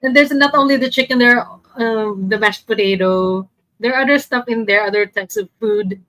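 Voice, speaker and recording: A woman's voice: a little high, normal speed, a bit bright, dry recording, poor audio. Woman casually presenting, dry close sound. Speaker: podcast panelist presenting a game. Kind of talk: casual presentation in a group chat.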